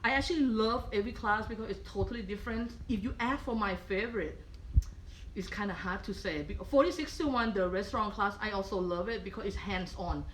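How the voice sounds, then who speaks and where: A woman's voice: medium pitch, a little fast, low-quality recording. Middle-aged Thai-accented woman, patient cooking instructor, kitchen clatter and faint hiss under her. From Thailand. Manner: patient, checks in with frequent "okay?". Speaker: culinary professor. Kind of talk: live cooking demonstration.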